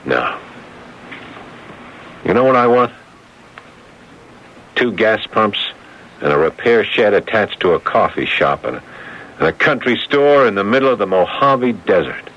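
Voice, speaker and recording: Lowish, wry, wronged man. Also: sing-song, measured pace, pauses often, fairly bright tone, full character voice. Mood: wry resolve. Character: wronged ex-employee turned self-styled healer, bitter, wry. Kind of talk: radio drama acting. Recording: dull lo-fi radio recording.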